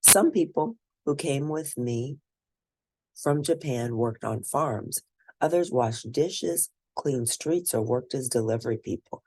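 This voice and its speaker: A woman reading a scripted first-person story aloud, expressive and deliberate, with a husky, gravelly voice. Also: a bass voice, no room sound, low-quality recording. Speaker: read-aloud narrator.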